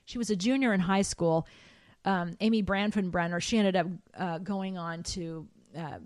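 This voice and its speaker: A middle-aged woman, a trained soprano, recalling her past in an animated way, with fillers and restarts. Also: moderate pace, dry recording, clean studio sound. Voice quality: high soprano singer. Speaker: guest. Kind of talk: conversational interview.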